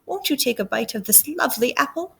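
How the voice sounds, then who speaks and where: A woman's voice: high voice, animated, quick pace, creaky, sharp tone, slightly rough, faint music behind, full character voice. A woman voicing a wicked storybook queen disguised as a sweet, coaxing little old lady. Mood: falsely sweet.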